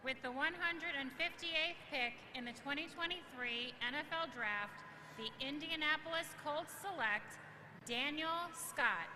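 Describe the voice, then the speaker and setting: Lady announcing picks formally, high voice, big echoey hall, noisy background. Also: a little slow. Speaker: event announcer. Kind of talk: formal draft pick announcement. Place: large event venue, heard through broadcast. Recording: booming hall PA, loud ambient noise.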